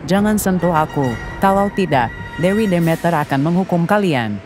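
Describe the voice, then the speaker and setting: A woman voicing a gentle, ancient female oak-tree spirit who pleads solemnly, in a dubbed cartoon. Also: lowish voice, expressive, normal speed, music in the background, lightly acted. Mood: warning. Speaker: tree dryad.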